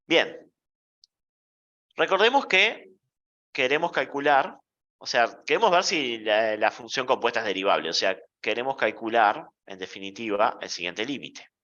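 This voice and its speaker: A man giving a university math lecture in a playful, deliberate way, checking in with the class often. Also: mid-range voice, expressive, pushed and bright, dull audio.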